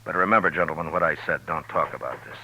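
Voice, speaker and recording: An actor playing a firm, commanding frontier marshal in a 1950s radio drama. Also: male voice, rumbling low, fast talker, background noise. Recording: dull, lo-fi old radio broadcast.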